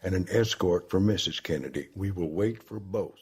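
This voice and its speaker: An actor playing a wary, commanding president in a crisis, gruff and clipped, in an audio drama. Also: male voice, low-pitched, normal speed, a little mellow, exaggerated voice. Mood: commanding, stubborn. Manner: clipped, repeats himself, presses with short questions.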